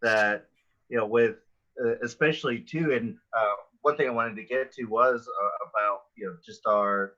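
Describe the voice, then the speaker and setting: A man from a marketing team speaking casually on a developer panel, with a halting, hesitant delivery. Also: lowish voice, pushed and bright, highs cut off.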